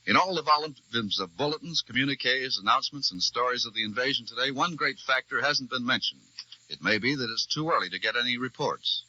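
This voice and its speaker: An American man reading scripted news as a formal, measured radio correspondent in a 1940s broadcast. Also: medium pitch, bright, forceful tone. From United States. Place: radio newsroom. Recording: dull vintage 1940s radio broadcast recording.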